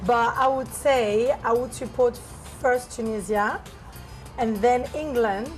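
A woman's voice: a little high, slow pace, faint music behind. A woman chef, talking in a casual interview. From France.

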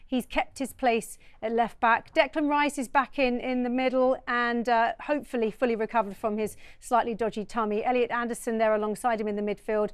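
A woman's voice: fairly high pitch, smooth, no echo. A woman presenting a live football show in an upbeat, chatty way. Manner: chatty, upbeat, laughs easily.

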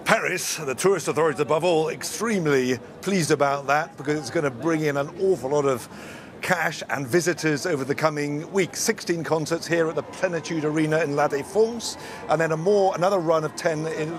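A male correspondent giving a live news report outdoors in a city street, fluent and unhurried, sometimes searching for words. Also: on the high side. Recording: loud crowd behind. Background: crowd of fans.

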